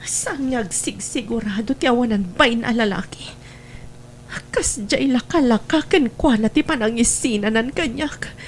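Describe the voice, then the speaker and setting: A desperate mistress, played by an actress in an audio drama, with a high-pitched voice and a northern Philippine accent. She is melodramatic and demanding, clingy yet threatening. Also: normal speed, slight background noise, close to an everyday voice. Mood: bitter, scheming.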